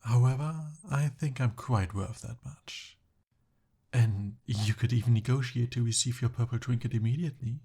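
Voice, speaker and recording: A man playing a smooth-talking, eager young captive prince in an ASMR roleplay, with formal, courtly and hesitant phrasing. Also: mid-range voice, normal speed, mellow. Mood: hopeful, coaxing.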